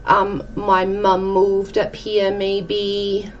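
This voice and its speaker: Female, 40s, South Welsh, mid-pitched, informal livestream chat, muffled room recording. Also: completely flat delivery, drawn-out words, slight background noise. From South Wales. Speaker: livestream host. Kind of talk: casual chat with live viewers, reading out comments. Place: dim indoor room at home.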